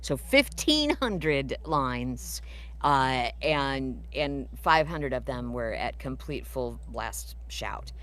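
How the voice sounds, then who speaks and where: Chatty voice actress, low voice. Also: female voice, animated, slow. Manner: chatty, warm storytelling with fillers. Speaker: voice actress guest. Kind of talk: casual live Q&A.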